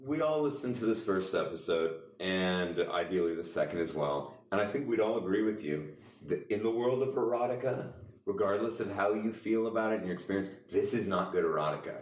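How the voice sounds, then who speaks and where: A man's voice: low voice, lively intonation, a little fast, far from the mic. A man co-hosting a podcast, telling stories in casual comedic banter with wry, wordy, mock-formal phrasing. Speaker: podcast co-host. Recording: echoey room, off the mic.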